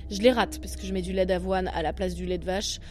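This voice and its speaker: A woman's voice: lowish voice, steady tone, quick pace, darkish tone, faint music behind. A woman with a Parisian accent, a comedian hosting her podcast in a crude, self-mocking, rambling monologue. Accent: Parisian French. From France. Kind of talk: comic monologue.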